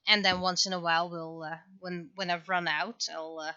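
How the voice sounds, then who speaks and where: Woman streamer thinking out loud, kinda halting, bright voice, slightly dull dry audio. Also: on the low side, flat delivery, no room sound. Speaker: livestreaming webcomic artist. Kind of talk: casual livestream chat.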